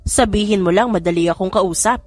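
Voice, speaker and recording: A woman's voice: medium pitch, fairly quick, no echo, faint music behind, close to an everyday voice. A woman voicing an older, wealthy matriarch in an audiobook, a firm but fond mother with an authoritative, motherly manner. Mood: stern. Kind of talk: audiobook character dialogue.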